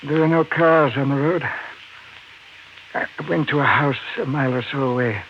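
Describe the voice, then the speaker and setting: Actor as a weak, grave wounded professor. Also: male voice, on the high side, natural voice. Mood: weak, halting. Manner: halting, earnest. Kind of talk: 1950s radio thriller acting. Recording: noisy lo-fi 1950s radio recording.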